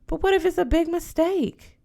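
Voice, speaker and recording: A woman's voice: very high-pitched, normal speed, gravelly, mellow, voice pushed up. A woman voicing a reluctant, doubtful young girl in an audiobook, hesitant and questioning. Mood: doubtful, anxious. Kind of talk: audiobook character voice.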